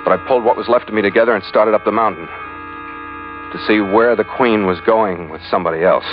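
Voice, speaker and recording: A man's voice: lowish voice, fairly quick, close to an everyday voice. An actor playing a tough, sardonic sea captain who narrates his adventure in terse, hard-boiled old radio style. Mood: grim narration. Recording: muffled vintage radio recording with loud music.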